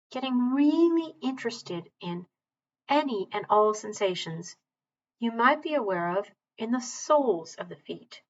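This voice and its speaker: A woman teaching mindfulness, leading a guided body scan in a calm, measured, gentle way with long pauses. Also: a little high, lo-fi sound.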